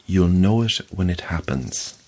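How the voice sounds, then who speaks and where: Deep-voiced, earnest believer in ghosts. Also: male voice, expressive, a little slow, background noise. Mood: insistent, apologetic. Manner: formal, apologetic, storyteller's solemn phrasing. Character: earnest, insistent male afterlife-society believer telling ghostly stories. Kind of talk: audio drama acting.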